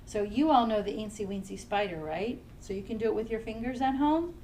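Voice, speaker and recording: A woman children's librarian leading a family storytime, singing nursery songs in English and simple Spanish and gently encouraging young children to join in. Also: medium pitch, lively intonation, brisk, a little hiss or hum. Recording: somewhat distant from the mic, faint music.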